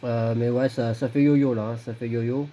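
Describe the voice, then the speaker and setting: A man's voice: medium pitch, flat delivery, moderate pace, dark tone, poor audio. A man giving casual, matter-of-fact signal reports as an amateur radio operator, often repeating himself. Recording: faint background noise, radio contact.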